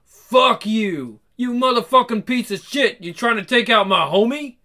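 A man's voice: sits high, expressive, normal speed, sharp tone. A man voicing a furious, foul-mouthed, confrontational man in a comic book read-aloud. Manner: swearing, confrontational, slangy.

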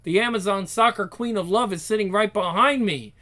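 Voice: medium pitch, fairly quick, sharp tone, voice pushed up, lightly acted. A performer voicing a troubled, sarcastic, self-conscious young teenage girl in a dramatic inner monologue for a story. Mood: anxious, mortified.

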